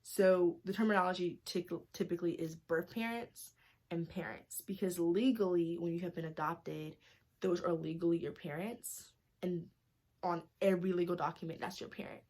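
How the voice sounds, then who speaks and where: A woman's voice: fairly low pitch, steady tone, normal speed, a little mellow. A young woman from North Carolina with a Southern accent, talking casually to her camera as a vlogger, full of "like" and "you guys". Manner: chatty, many "like"s and "you guys". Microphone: camera mic.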